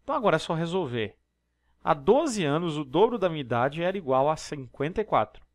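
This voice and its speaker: A man's voice: fairly high pitch, big pitch swings, a little fast, no echo. A Brazilian man teaching math over a handwritten screen, explaining each step and checking in with listeners as he goes. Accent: Brazilian Portuguese. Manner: explanatory, step-by-step, frequent tag questions. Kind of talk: exam problem walkthrough. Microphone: voice-over on screen recording.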